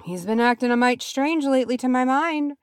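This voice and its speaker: A woman's voice: sits high, fairly quick, smooth, dry recording, clean studio sound, pitched above the performer's own voice, lightly acted. A woman voicing a loyal, earnest male hobbit servant in a fantasy story, deferential and rustic in manner. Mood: fretful.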